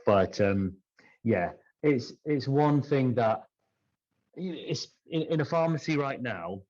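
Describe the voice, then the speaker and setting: A man talking through ideas in a conversational podcast discussion, in a halting, thinking-aloud manner. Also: medium pitch, animated, a little mellow, lo-fi sound. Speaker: podcast regular discussing pharmacy business.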